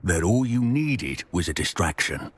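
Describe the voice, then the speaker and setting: An actor voicing a menacing male dark wizard who leads a gang in a video game, speaking coldly and threateningly. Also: low-pitched, big pitch swings, measured pace, a little hiss or hum. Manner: cold, threatening.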